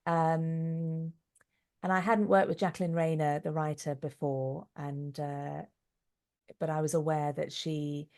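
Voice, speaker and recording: A woman's voice: low-pitched, vocal fry, warm tone. A middle-aged woman speaking as an interview guest, reflective, articulate and emphatic, on muffled call audio.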